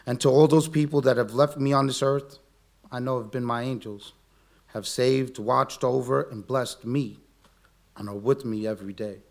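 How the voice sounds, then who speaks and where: A man in his forties performing a spoken word poem at an open mic, earnest, slow and heartfelt. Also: medium pitch, vocal fry, darkish tone. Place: community center event.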